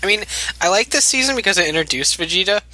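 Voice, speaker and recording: A man's voice: high-pitched, expressive, fast talker, pushed and bright, no room sound. A young man in casual podcast chat, chatty, with lots of fillers and frequent laughter.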